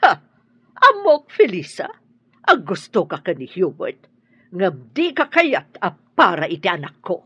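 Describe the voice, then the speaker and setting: An actress with an Ilocano accent playing a dramatic, emotional middle-aged woman of the household in a radio drama, with a husky voice. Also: lowish voice, huge pitch swings, unhurried, bright, forceful tone, dry recording, heavily acted. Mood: angry, imperious. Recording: dull lo-fi radio drama recording.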